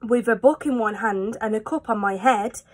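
Woman doing a boastful, high-pitched storybook cat. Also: normal speed, natural voice. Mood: reassuring, boastful. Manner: showy, exclaiming, rhythmic rhyme. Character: playful, boastful, confident cartoonish trickster cat. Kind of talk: children's storybook read-aloud.

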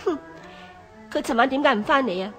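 An actress with a Hong Kong Cantonese accent playing a young, spoiled imperial consort in a radio drama, coquettish and petulant. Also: female voice, high voice, animated, fairly quick, fairly bright tone, faint music behind. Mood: sulky. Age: young adult.

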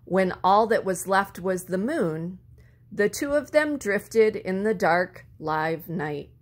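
A woman reading a children's story aloud expressively, with deliberate phrasing and words drawn out for effect. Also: medium pitch, animated. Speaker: storybook reader.

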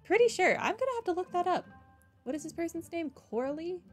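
A woman's voice: very high-pitched, sing-song, fast. A woman giving casual playthrough commentary as a game streamer. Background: game music.

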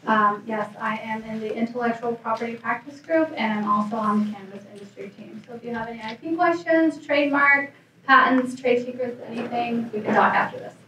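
A woman in her thirties, a lawyer moderating a panel, brisk and friendly. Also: fairly high pitch, sharp tone, a little hiss or hum. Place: conference room panel. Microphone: handheld mic. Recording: very echoey room, distant pickup.

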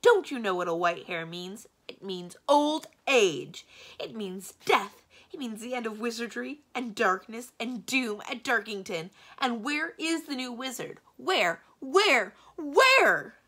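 Lady doing a vain cranky old wizard guy, shrieky and over the top, sharp edge, storybook drama. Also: on the high side, expressive, slow pace, pitched above the performer's own voice, exaggerated voice. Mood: despairing. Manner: shrieking, indignant, overblown. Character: vain melodramatic male wizard, aging, hot-tempered, theatrical.

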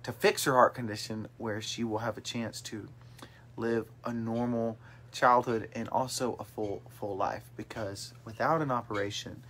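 A man's voice: lowish voice, steady tone, moderate pace, a bit bright, breathy edge. Late-20s man, upbeat livestream host, phone at arm's length, small bedroom. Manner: upbeat, reads out viewer comments. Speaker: host of a family livestream chat. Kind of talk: casual chat. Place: small bedroom with brothers on a couch. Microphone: phone camera held at arm's length.

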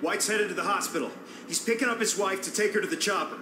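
An actor playing a gruff, blunt, commanding leader of survivors in a video game cutscene. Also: male voice, high-pitched, fast, reverberant, noisy background, lightly acted. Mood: urgent, commanding. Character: gruff, foul-mouthed man leading survivors, grimly practical.

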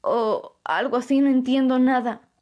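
Actress as anxious young woman, very high voice, hesitant, questioning, wide-eyed, fantasy vampire audio drama. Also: unhurried, a little mellow, muffled recording. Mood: shaken, confused.

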